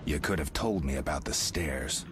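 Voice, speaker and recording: An actor playing a stoic, gruff swordsman in a fantasy video game, speaking tersely in a low voice. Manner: terse, flat, unhurried. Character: stoic gruff adult male monster-hunting swordsman.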